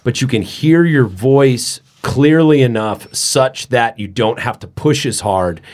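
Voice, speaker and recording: A man's voice: medium pitch, unhurried, a little mellow. A man hosting a podcast in casual chat, talkative and joking, with frequent restarts. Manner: chatty, joking, many restarts.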